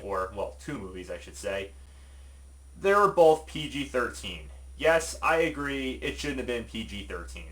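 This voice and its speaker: A man presenting a top five list in casual, opinionated video commentary, informal and emphatic with halting asides. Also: on the low side, fairly bright tone, poor audio.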